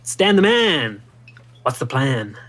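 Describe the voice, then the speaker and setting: An actor playing a breezy, pushy company boss in an audio drama, glib and insistent. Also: male voice, mid-range voice, big pitch swings, takes it slowly, a bit bright, a little hiss or hum. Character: breezy, pushy male boss obsessed with sales leads.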